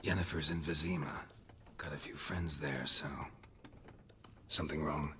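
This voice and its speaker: An actor playing a stoic, laconic monster hunter in a video game, with a low growling voice and clipped, dry delivery. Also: male voice, unhurried, slight background noise, heavily acted. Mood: low, terse. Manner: clipped, deadpan, understated.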